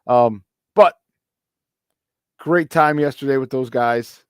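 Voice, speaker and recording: A man hosting a casual morning sports talk show, his voice a little hoarse, repeating words for emphasis and laughing often. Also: mid-range voice, slow, no echo, clean studio sound. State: slightly hoarse. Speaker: sports talk radio host.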